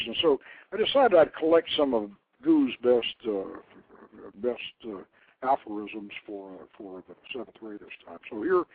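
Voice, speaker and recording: An older man hosting a radio show, reading aloud and commenting informally in a deliberate storyteller's manner. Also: mid-range voice, no room sound. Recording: narrow phone-like line, dry.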